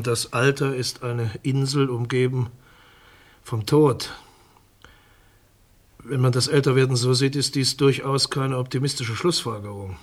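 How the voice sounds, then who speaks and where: An actor playing a state prosecutor in a radio crime drama, speaking in a measured, bureaucratic and somewhat condescending way. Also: male voice, mid-range voice, lightly acted. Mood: measured, matter-of-fact. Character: dismissive, formal male state prosecutor defending his findings.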